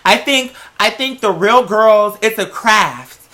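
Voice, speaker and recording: A host in a casual comic rant, telling a story in a sassy, profane, emphatic and animated way. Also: medium pitch, slow pace.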